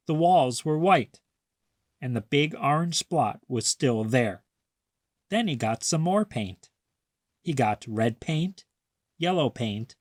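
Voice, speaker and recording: A man narrates a children's picture book in a mid-pitched voice that moves up and down in lively swings. He takes his time, reading deliberately and expressively, and the sound is close and dry. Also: dry recording. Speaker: storybook reader. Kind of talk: children's read-aloud narration.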